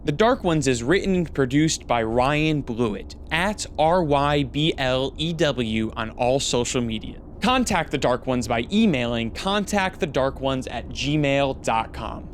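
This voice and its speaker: A man narrating a scripted radio drama in a measured, theatrical storytelling manner. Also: medium pitch, animated, slight background noise. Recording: clear music behind.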